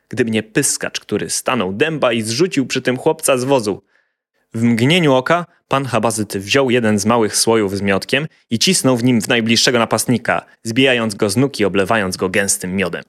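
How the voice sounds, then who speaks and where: A man's voice: mid-range voice, lively intonation, normal speed, fairly bright tone. A man narrating a scripted children's fairy tale as a storyteller.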